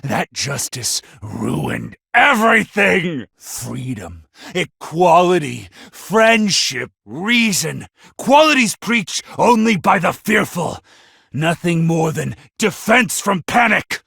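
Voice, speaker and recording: A deranged anime game villain with a god complex, sneering with open contempt. His voice is high for a man and rough with gravel, swinging wildly between menace and screams. He draws his words out theatrically. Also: big pitch swings, takes it slowly, pushed and bright, no echo, studio quality, full character voice. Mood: cold condescension.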